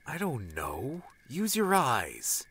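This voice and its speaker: A man's voice: mid-range voice, wildly swooping pitch, slow, a bit bright, breathy edge, pitched above the performer's own voice, heavily acted. A man voicing an impatient, bossy, scheming male adventurer in an audiobook.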